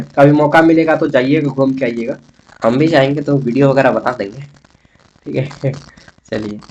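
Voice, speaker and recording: A man's voice: fairly high pitch, rough recording. A man with a Jharkhand Hindi accent teaches an exam-prep lesson informally and colloquially, asking questions and answering them himself as he addresses students. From Jharkhand, India. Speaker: history teacher for college students.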